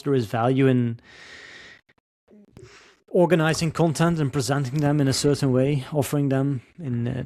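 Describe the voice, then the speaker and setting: Mellow-voiced man hosting a podcast. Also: mid-range voice, dry recording, studio quality. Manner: thinks aloud with many "um" and "like" fillers. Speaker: podcast host. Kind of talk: casual podcast interview.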